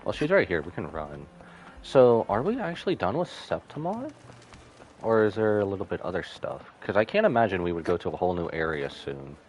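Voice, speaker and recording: A man's voice: deep voice, big pitch swings, fairly quick, a little mellow, soft background music. A man doing casual live commentary on a game, sentimental and musing aloud to himself as he reacts. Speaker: game streamer.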